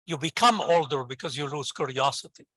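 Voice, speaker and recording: A man's voice: on the high side, brisk, fairly bright tone. An older man with a Lebanese accent, a native Arabic speaker, answering interview questions in an enthusiastic, encouraging, conversational way. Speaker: invited guest, professor and scientist.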